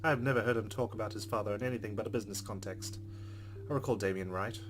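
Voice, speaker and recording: A man's voice: on the low side, steady tone, fast talker, music in the background. A man reading a visual novel aloud and adding casual commentary as a gaming host. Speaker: gaming let's play host.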